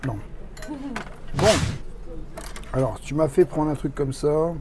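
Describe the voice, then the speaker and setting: A man's voice: fairly high pitch, slow, very noisy. A man chatting casually as he tastes food for a vlog. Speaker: vlog companion tasting food. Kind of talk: casual chat. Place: busy restaurant at a theme park. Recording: restaurant crowd chatter behind.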